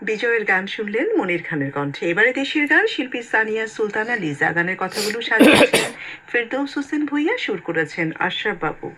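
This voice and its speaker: A woman's voice: mid-range voice, sing-song, quick pace, crowd noise. A woman with a Bangladeshi accent presenting songs in scripted announcements, heard on FM radio through a speaker.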